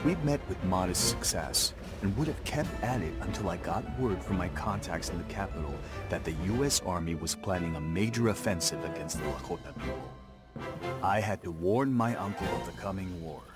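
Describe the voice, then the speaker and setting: An actor playing an earnest, principled Lakota man in a video game, with a measured, firm and serious manner. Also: sits low, animated, loud music behind the voice. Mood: solemn. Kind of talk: scripted game cutscene acting and narration.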